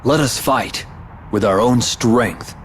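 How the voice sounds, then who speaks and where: An actor playing a noble, earnest warrior hero in a video game, speaking in a grave, measured way. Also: male voice, medium pitch, a little hiss or hum, natural voice. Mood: solemn resolve. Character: solemn, noble young male warrior hero.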